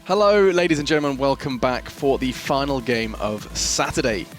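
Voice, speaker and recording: A man hosting an esports analysis desk, quick and playfully self-mocking as he chats with his co-analyst. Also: medium pitch, faint music behind. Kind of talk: studio desk analysis chat.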